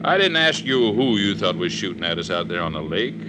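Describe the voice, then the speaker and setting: A man's voice: low-pitched, sing-song, brisk. Male: American western sidekick, folksy and plain, 1950s radio drama acting, noisy vintage recording. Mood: puzzled. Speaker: supporting actor in a radio drama.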